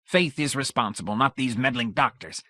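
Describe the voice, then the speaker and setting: A man's voice: medium pitch, normal speed, dry recording, studio quality, voice pushed up. A man doing a frigid, pious old man. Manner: quiet and cold.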